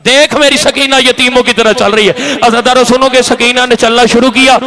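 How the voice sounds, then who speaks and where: Man, very high voice, wailing mourning sermon, declamatory, crowd noise behind. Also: fairly even intonation, normal speed. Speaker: zakir reciting a majlis. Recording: live gathering crowd noise.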